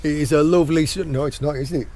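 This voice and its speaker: A middle-aged man with a hoarse, croaky voice, chatting casually outdoors on a rocky shore as he guides viewers through foraging. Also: a little high, steady tone, quick pace, a little mellow, a little hiss or hum. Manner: chatty, points things out as he goes. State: hoarse, croaky sore throat. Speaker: channel host and foraging guide.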